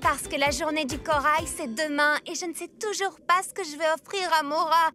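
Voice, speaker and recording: A very high, earnest young cartoon girl, played by a woman. She is kindly and prone to fretting. Clear music runs behind her. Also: steady tone, fairly quick, lightly acted. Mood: worried. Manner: earnest, fretful. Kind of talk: French animated series dubbing. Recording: voice over background music.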